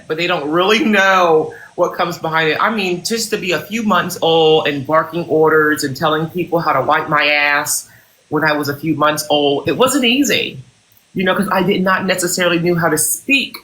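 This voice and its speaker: Bright-voiced woman in pompous boss mode. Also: fairly low pitch, rough recording. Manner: pompous, mock-serious boss talk. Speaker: host playing a CEO. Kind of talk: improvised comic roleplay interview.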